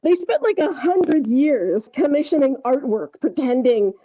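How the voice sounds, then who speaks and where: Higher-voiced woman, mellow, rambling podcast chat. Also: expressive, measured pace, no room sound. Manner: rambling, informal. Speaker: podcast co-host. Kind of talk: casual conversational podcast chat. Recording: slightly dull, dry recording.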